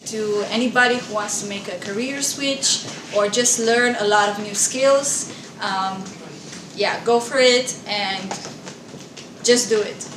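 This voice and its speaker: A woman, a bootcamp graduate, speaking in an encouraging, unhurried way. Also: medium pitch. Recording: echoey room, distant mic, background noise. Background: ambient noise.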